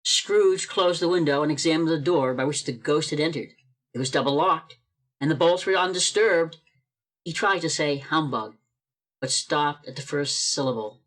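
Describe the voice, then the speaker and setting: A man's voice: fairly high pitch, normal speed, fairly bright tone. A man narrating a radio play as a scripted storyteller.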